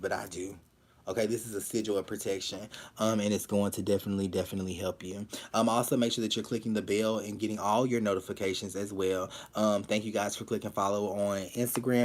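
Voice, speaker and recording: A man's voice: lowish voice, slight background noise. Blunt man giving live tarot readings. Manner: repeats phrases, many fillers, direct and blunt. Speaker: tarot reader.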